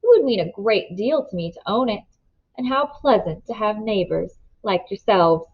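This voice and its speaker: A woman voicing a smooth, scheming older man who talks in polite, ingratiating tones with quiet menace. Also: medium pitch, expressive, normal speed. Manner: silky, ingratiating, then hard bargaining. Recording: dull lo-fi recording.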